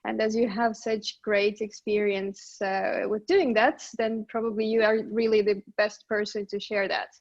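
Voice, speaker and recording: A woman's voice: medium pitch, level tone, unhurried, hardly any pauses, dry recording. A woman hosting an online workshop, introducing the speaker with many hesitant fillers. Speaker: workshop host. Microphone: video call. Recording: dull, dry call audio.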